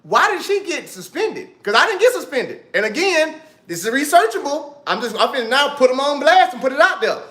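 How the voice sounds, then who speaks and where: A man's voice: very high-pitched, sing-song, brisk, sharp tone, echoey room. A man co-hosting a live talk show, blunt and insistent, repeating his point. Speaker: athlete co-host.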